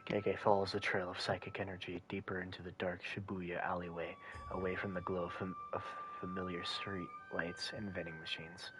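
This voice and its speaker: A young man with a deep voice, a streamer reading game text aloud in hushed narration. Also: flat delivery, normal speed, background noise.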